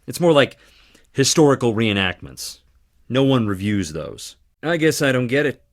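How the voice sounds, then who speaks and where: A guarded young man from an adventure game, a theatre type with a wry, conversational way of talking. His pitch is mid-range and his intonation moves around a lot. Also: very animated, normal speed. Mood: wry, weary. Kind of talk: scripted adventure game dialogue.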